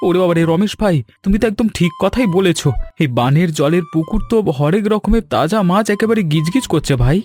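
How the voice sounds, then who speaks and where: Man voicing an excitable young village son-in-law, higher-pitched. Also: fairly quick, faint music behind, natural voice. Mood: eager. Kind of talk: animated folk-tale dialogue.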